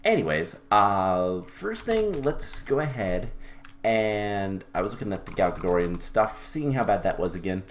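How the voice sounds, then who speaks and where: Slightly raspy young man with a cold. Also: lowish voice, expressive, unhurried. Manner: chatty, rambling, frequent ums and self-corrections. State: hoarse from a cold. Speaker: gaming channel host. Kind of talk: casual Minecraft modpack let's-play commentary.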